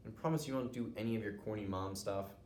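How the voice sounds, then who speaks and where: A man's voice: lowish voice, fast, darkish tone, lightly acted. Uneasy storybook schoolboy, read by a man, defensive, slightly noisy echoey room. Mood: pleading. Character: Joey, anxious, embarrassed schoolboy dodging questions. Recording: roomy echo, noticeable background noise.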